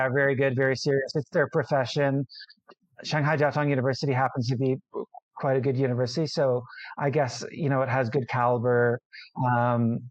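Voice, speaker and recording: A man, an international student, answering interview questions casually, often restarting sentences and filling pauses. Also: mid-range voice, no room sound, dull audio. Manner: hesitant, many restarts and fillers.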